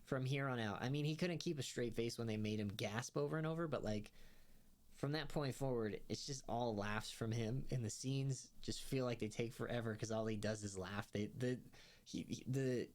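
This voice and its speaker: A man's voice: mid-range voice, moderate pace, a little mellow. A young man giving a casual spoken review of a comedy series, rambling with lots of fillers and laughing as he talks. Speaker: show reviewer.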